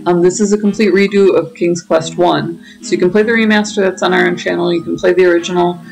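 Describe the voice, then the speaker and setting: Woman, gaming host, casual playthrough chat, vocal fry, faint game music behind. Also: fairly low pitch, fairly even intonation, quick pace, a little mellow, poor audio.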